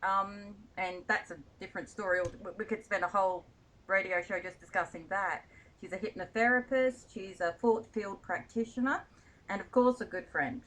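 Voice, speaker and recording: A woman's voice: mid-range voice, steady tone, moderate pace, fairly bright tone, low-quality recording. A woman hosting a radio interview show, chatty and giggly, often laughing at her own slips. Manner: giggly, self-deprecating, chatty. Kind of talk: casual radio interview chat.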